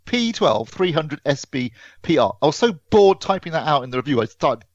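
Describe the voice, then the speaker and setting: A man's voice: fairly high pitch, very animated, fairly quick, no echo, lo-fi sound. A British man co-hosting a podcast, talking through a product review casually and correcting himself as he explains.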